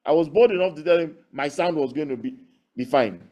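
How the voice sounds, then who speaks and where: A man with a Nigerian accent telling his story in an interview as a loudspeaker builder, emphatic and punctuating his points with rhetorical questions. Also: a little high, brisk. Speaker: loudspeaker builder and audio engineer, guest.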